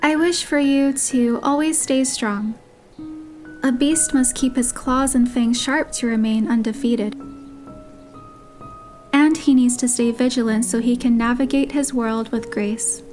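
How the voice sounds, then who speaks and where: Woman voicing a high-pitched, playful romance heroine. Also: steady tone, a little slow, darkish tone, natural voice. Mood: earnest, tender. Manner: teasing, affectionate. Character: playful, tender young woman teasing her beloved. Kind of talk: romance game dialogue, fan voice-over. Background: guitar music.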